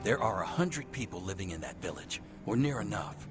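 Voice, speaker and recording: A man playing a very deep-voiced, sombre ranger. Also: animated, fairly quick, over music, lightly acted. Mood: sombre, terse. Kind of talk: dramatic video game cutscene acting.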